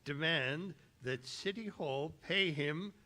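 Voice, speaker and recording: A man playing a worried, authoritative police commissioner in a 1930s radio drama, earnest and halting. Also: on the high side, bright, forceful tone. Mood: grave, worried.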